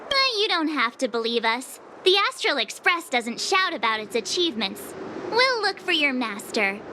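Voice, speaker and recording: Perky, high, lively girl adventurer. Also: normal speed, background noise. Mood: reassuring. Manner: breezy, casual, assertive. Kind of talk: video game character acting. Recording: loud game music behind voice. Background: game music.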